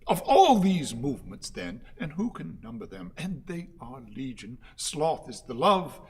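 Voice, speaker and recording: An actor playing a servile, pompous orator in a radio play, with a declamatory, halting, pleading manner. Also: male voice, a little high, very animated, breathy edge, exaggerated voice. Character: servile, pompous male orator, anxious before his lord. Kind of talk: theatrical radio play acting.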